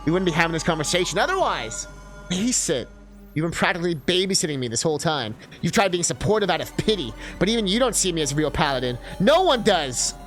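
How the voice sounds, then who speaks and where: A man's voice: high voice, fast talker, soft background music. A man voicing an insecure young woman healer in a fantasy story, stammering and pleading as a wounded would-be paladin. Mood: hurt, bitter.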